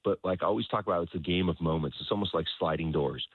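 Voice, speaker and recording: A man speaking as a soccer coach in an interview, explaining things in a halting way, repeating words and often checking in with the listener. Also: deep voice, slightly rough. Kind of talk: conversational sports interview, explaining his coaching philosophy. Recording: roomy echo, dull lo-fi sound.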